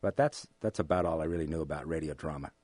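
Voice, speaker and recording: An American man being interviewed, speaking in a halting, measured way with formal, academic wording. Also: very deep voice, a little mellow, no echo. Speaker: interview guest, amateur radio drama actor. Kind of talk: relaxed interview conversation.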